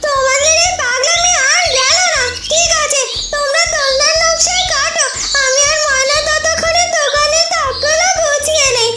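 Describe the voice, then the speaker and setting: Shrill-high bossy village woman. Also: steady tone, normal speed, hardly any pauses, far from the mic. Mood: teasing scolding. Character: bossy, practical young village shop woman. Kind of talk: cartoon voice acting. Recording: echoey, music underneath.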